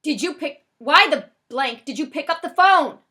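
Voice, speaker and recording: Storybook gunman, male, exasperated and commanding, bright forceful bark acted by a female reader. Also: high-pitched, normal speed, voice pushed up. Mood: furious. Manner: barking, commanding.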